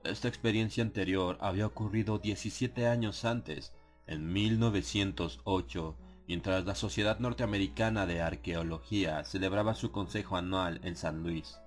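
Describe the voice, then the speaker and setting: A man narrating a dramatized horror audiobook in a measured, solemn literary delivery. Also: sits low, fairly even intonation. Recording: music behind the voice. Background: atmospheric music.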